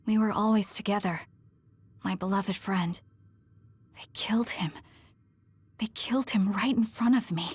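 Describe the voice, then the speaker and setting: An actress playing a guarded, blunt young woman soldier haunted by her memories, in a breathy voice for a video game dub. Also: medium pitch, a little slow, pauses often, dry recording. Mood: grieving, hushed.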